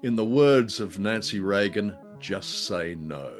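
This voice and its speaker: A man co-hosting a radio show, chatting casually about old drama in a halting, wry, bookish way. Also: on the low side, lively intonation, faint music behind.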